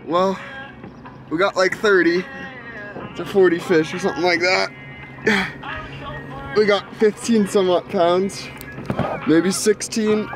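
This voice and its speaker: Young man, slightly high voice, offhand. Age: young adult. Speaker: angler, fishing partner. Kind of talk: casual chat while fishing. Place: outdoors on a lake by a small boat. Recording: noisy outdoor camera audio on water.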